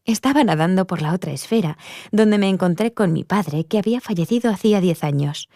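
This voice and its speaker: A woman's voice: lowish voice, fairly quick, dark tone, no echo, clean studio sound, close to an everyday voice. A woman voicing an earnest, reflective woman giving a personal testimony in a dubbed interview. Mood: reflective, candid. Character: earnest adult woman recounting her near-death experience.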